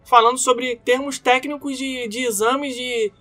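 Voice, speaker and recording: A man's voice: very high-pitched, fairly even intonation, a little slow, bright, forceful tone, faint music behind. A Brazilian man with a Brazilian Portuguese accent, telling jokey stories in slangy casual chat.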